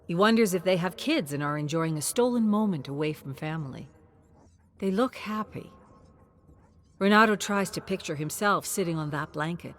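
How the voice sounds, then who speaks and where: A woman with a fairly low voice narrates a scripted story in short, measured phrases. Her intonation moves around a lot, giving the telling an expressive, dramatic feel. There is faint background noise under the voice.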